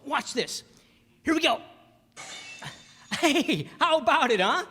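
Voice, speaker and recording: A man playing an earnest, excitable young small-town dreamer in a radio play, with a stammering, folksy delivery. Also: very high-pitched, takes it slowly, heavily acted. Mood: eager, dreamy. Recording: very echoey hall stage.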